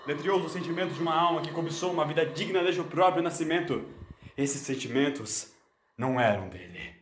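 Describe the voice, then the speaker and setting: A man's voice: on the high side, a little fast, a little hiss or hum. A man narrating a scripted dramatic story in a solemn, theatrical way. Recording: echoing voice over music.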